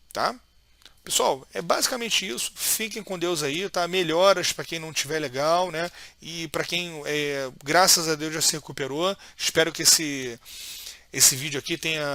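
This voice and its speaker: Bright-voiced man in his thirties. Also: high-pitched, vocal fry, no room sound. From Brazil. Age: 30s. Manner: informal, many fillers and restarts, earnest. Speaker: health video host. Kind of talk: casual video monologue to viewers.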